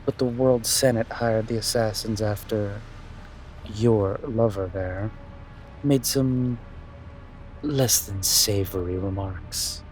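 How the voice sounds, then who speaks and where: A man playing a haughty, calculating young prince in a fantasy audio drama, speaking in a pompous, deliberate, menacing way. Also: on the low side. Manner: pompous, deliberate, drawn-out delivery. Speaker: voice actor.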